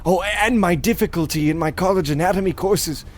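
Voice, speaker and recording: A man's voice: sits high, brisk, close to an everyday voice. A man playing a nervous, rambling young mad scientist in a parody radio play, flustered, evasive and dramatic.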